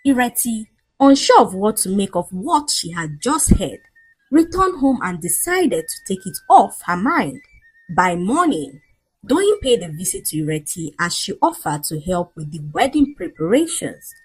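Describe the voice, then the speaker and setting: A woman's voice: medium pitch, big pitch swings, a little slow, breathy edge, poor audio. A woman narrating a folktale in a scripted, dramatic storytelling style.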